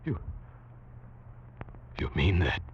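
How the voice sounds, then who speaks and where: An actor playing a grim hunted man who tells his own suspense story, tense and deliberate, in 1940s radio style. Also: muffled recording, slight background noise. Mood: wary, defiant. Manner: tense, deliberate dramatic delivery. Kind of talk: 1940s radio acting, first-person narration. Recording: vintage radio drama with music behind.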